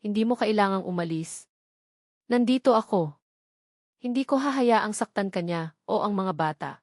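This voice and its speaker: A woman's voice: mid-range voice, animated, moderate pace, a little mellow, no room sound, clean studio sound, close to an everyday voice. Woman voicing an earnest, pleading remorseful man. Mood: confused, protective. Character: remorseful adult man, pleading with a lost love. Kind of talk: audio drama dialogue.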